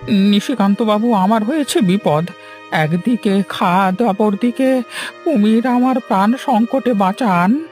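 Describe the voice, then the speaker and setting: A woman voicing a frightened, cowardly village man in a cartoon, stammering and halting in panic. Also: medium pitch, a little mellow, soft background music, lightly acted. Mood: nervous. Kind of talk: Bengali cartoon voice acting.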